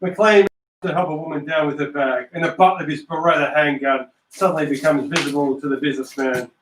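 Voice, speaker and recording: A man's voice: fairly high pitch, steady tone, a little fast. A man narrating a script aloud, reading out stage directions. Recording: echoey room, distant mic.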